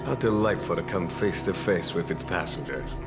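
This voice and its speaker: Calm, diplomatic knight general, deep register, polite and unhurried game-cutscene delivery over music. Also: male voice. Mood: genial, measured. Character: calm, courteous, shrewd male general of knights. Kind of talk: scripted video game cutscene acting.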